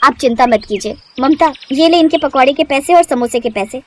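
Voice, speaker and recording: Woman playing an honest young stall girl, high-voiced. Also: brisk, close to an everyday voice. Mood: earnest, reassuring. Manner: earnest, respectful, principled. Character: honest, kind, firm young woman momo seller. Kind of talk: animated moral-story cartoon dialogue.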